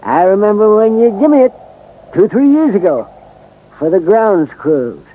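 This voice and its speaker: An actor playing a scrappy old backwoods hermit with a creaky voice and a folksy, rambling rural American drawl in an old-time radio drama. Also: male voice, high voice, very animated, normal speed. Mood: proud, easygoing. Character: scrappy old backwoods hermit man, wary, boastful, loyal. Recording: muffled, hissy vintage radio recording.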